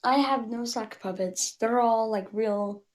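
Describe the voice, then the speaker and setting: A woman puppeteer chatting casually as a guest, in a halting, hesitant way. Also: fairly high pitch. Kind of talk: casual chat.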